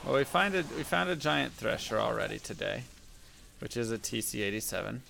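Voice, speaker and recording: A man with a deep voice casually talking to chat and muttering to himself while playing a game on a live stream. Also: expressive, fairly quick, gravelly, pushed and bright. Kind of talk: casual gameplay commentary.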